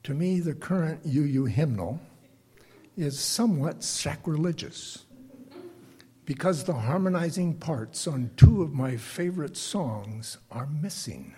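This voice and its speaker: An older man with a bass singing voice gives a reflective, prepared lay sermon to a congregation, speaking deliberately and haltingly with pauses. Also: lively intonation, warm tone, husky. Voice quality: self-described bass voice.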